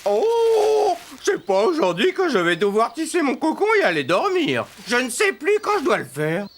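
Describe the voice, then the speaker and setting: A woman's voice: sits high, wildly swooping pitch, moderate pace, heavily acted. A woman voicing a small, dreamy, proud male caterpillar in a children's cartoon, with a naive, earnest manner.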